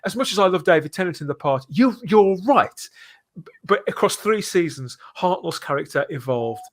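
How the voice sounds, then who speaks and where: A man's voice: high-pitched, animated, normal speed. A man hosting a live fan podcast, talking in an earnest, emphatic way. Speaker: podcast host.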